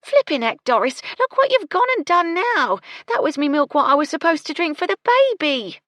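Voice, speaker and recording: Loud, blunt kitchen maid, a woman doing her, scolding, swinging pitch. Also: big pitch swings, normal speed, no echo. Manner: blunt, scolding, swears freely. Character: brash bossy young kitchen maid.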